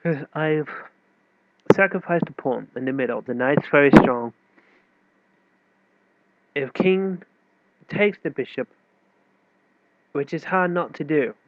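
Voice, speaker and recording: Male chess tutor with a head cold, nasal and a bit high, relaxed explaining manner, dry phone-like sound. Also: slow pace, pauses often, no room sound. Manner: loose, self-correcting explanation with fillers. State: stuffy with a cold. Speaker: chess teacher. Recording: tinny, dry phone-like recording.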